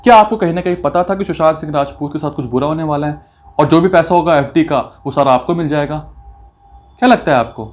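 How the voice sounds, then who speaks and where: Male commentator, voice a bit high, indignant and accusatory, rhetorical, drops in English phrases. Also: fast. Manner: mixes English phrases into speech. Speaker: video commentator. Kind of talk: opinionated commentary on a recording.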